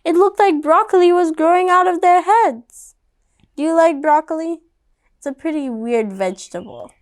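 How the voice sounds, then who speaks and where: A woman's voice: extremely high pitch, moderate pace. A woman reading a picture book aloud to children, conversational and speaking directly to her young listeners. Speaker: storybook reader.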